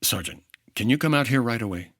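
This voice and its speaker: A man playing a dry, world-weary hardboiled insurance investigator in the manner of 1950s radio drama, deadpan and wry. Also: medium pitch, fairly quick, no echo. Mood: intent, serious. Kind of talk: 1950s radio detective acting.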